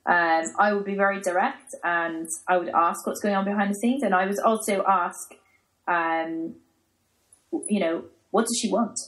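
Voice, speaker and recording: An Australian woman, a fitness coach guest, talking directly and emphatically with a croaky voice. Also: mid-range voice, fairly even intonation, moderate pace, fairly bright tone, rough recording. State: croaky, losing her voice. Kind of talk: casual podcast chat.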